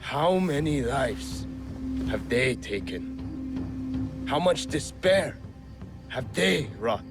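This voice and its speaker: A man voicing a brooding, poetic exiled warlord in a video game, measured, solemn and bitter. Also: mid-range voice, very animated, music in the background, exaggerated voice. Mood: bitter grief. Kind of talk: dramatic video game acting.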